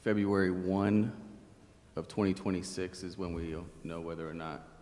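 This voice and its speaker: Male official, flat delivery, explanatory meeting remarks, echoey hall with faint noise. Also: low-pitched, moderate pace. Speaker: project official presenting to a city council. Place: council chamber.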